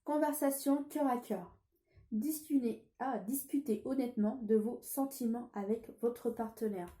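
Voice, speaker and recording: A woman's voice: fairly high pitch, a little slow, warm tone, echoey room. A woman with a cold giving a casual tarot reading, hesitant with many fillers and restarts. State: has a cold. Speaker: tarot card reader.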